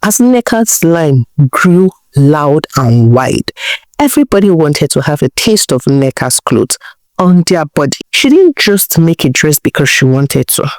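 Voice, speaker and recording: A woman's voice: low-pitched, big pitch swings, unhurried, no room sound. Nigerian woman storyteller, dry close sound. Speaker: storyteller, narrator. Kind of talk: narrated folktale storytelling.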